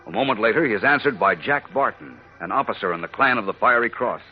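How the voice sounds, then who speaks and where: A man's voice: lowish voice, expressive, fast, husky. A man giving suspenseful, emphatic scripted narration in a 1940s radio style on a dull old recording. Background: dramatic music.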